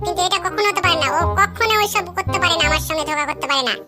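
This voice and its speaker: Woman playing a very high-pitched stubborn daughter. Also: racing. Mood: insistent. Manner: insistent, pert. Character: stubborn lovestruck young adult daughter. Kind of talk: amateur comic cartoon voice acting. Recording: loud jingly music behind the voice.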